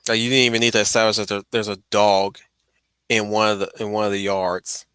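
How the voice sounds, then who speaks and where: Bright, low-pitched man chatting on a stream. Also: flat delivery, fairly quick, clean tone, no echo. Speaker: stream host. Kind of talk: casual livestream chat reacting to a video.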